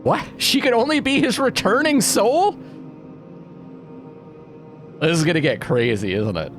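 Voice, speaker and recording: Animated man musing through a game. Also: high voice, unhurried. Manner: musing, often questioning himself. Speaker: let's play host. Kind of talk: casual gaming commentary, thinking aloud and reading out game text. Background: game music.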